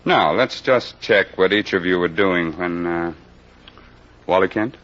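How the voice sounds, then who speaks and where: A dull, vintage radio recording of a low-pitched police captain. He is brisk and authoritative, with wry sarcasm as he questions suspects in a mystery drama. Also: fairly bright tone. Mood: probing. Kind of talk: old-time radio mystery acting. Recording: dull, band-limited old radio recording.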